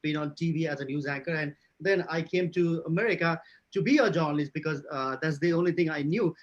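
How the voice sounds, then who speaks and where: A man's voice: a little high, fairly quick, dull audio. A man with a Nepali accent, a journalist answering on a conference panel, stumbling through restarts and fillers. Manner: hesitant, many restarts and fillers.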